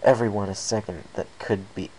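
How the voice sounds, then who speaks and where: A man with a low, creaky voice reading a scripted first-person adventure story as narrator. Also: fairly even intonation, fairly quick, a little mellow, low-quality recording. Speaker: amateur story reader. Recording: faint background hiss.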